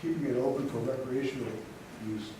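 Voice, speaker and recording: A man making relaxed, conversational remarks during a public community meeting. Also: mid-range voice, fairly quick. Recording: echoey room, distant mic, background noise.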